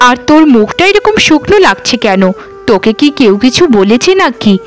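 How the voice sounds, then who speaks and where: Casting: female actor as poor village mother in a cartoon, high voice, caring and resigned, music behind. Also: very animated, moderate pace. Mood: worried, caring. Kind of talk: Bengali cartoon dubbing.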